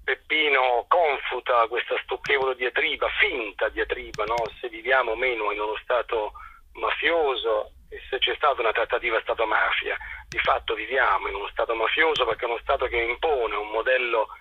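Guy, journalist on a muffled phone line, husky voice, insistent and rhetorical, repeats himself, halting. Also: mid-range voice, fairly even intonation, dry recording. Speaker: journalist and stage narrator, interview guest. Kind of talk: impassioned phone interview about a stage show. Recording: dull, lo-fi telephone line.

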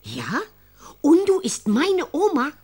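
An actress voicing a newly hatched tiny granny, childlike and curious, parroting words in a naive way for a children's radio drama. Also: female voice, sits high, huge pitch swings, slow pace, darkish tone, heavily acted. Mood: playful parroting.

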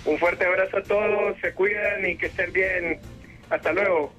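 High-voiced man giving a casual report. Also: steady tone, moderate pace, fairly bright tone, faint music behind. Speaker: correspondent for a film show.

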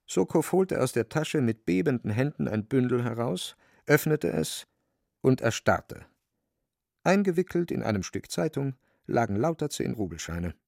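Man, gravelly voice, dry acoustics, scripted storytelling, radio drama narrator. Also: medium pitch, sing-song, moderate pace, a little mellow, no room sound.

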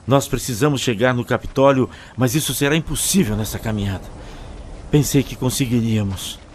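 A man playing a sober, cautious companion in a sci-fi audio drama, measured and explanatory. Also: medium pitch, slight background noise, close to an everyday voice. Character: sober, cautious male companion in a strange world.

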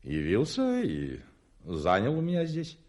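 An actor in a radio play, playing a fussy, anxious hotel manager who is obsequious about gentlemen and talks in a flustered, hesitant, repetitive way. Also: male voice, mid-range voice, wildly swooping pitch, measured pace. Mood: anxious, reverent. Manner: flustered, hesitant, repeating himself. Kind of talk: radio play acting in Russian.

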